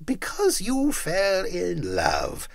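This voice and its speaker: A man's voice: high-pitched, huge pitch swings, very slow, gravelly, dry recording, voice pushed up, full character voice. A man voicing a bitter, miserly old man who talks sternly and growls his scorn. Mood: scornful.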